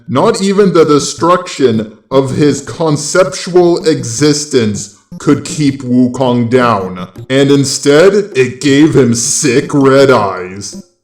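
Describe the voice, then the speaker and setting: A man's voice: mid-range voice, slow pace, echoey room. A male synthetic robot voice delivering scripted video narration in a matter-of-fact way. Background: background music.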